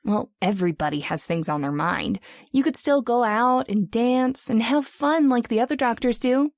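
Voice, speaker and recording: A woman acting a young hospital receptionist in a vintage radio drama. She is chatty, teasing and forthright, with a fairly high voice in a dry recording. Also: normal speed, a little mellow, dry recording, close to an everyday voice. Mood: prodding. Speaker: voice actor.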